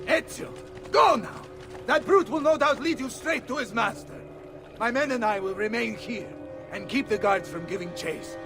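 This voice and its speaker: A boisterous game mercenary captain, with an Italian accent. His loud voice rides very high and swoops widely, with music clearly underneath. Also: lively intonation, normal speed. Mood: urgent. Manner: loud, blustering. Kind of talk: video game cutscene acting.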